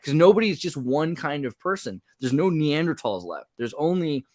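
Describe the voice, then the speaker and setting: A man hosting a podcast, talking casually in an animated, rambling way that often breaks off mid-sentence. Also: fairly high pitch, normal speed, no room sound, studio quality. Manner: animated, rambling, self-interrupting. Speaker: podcast host. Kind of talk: casual conversational podcast chat.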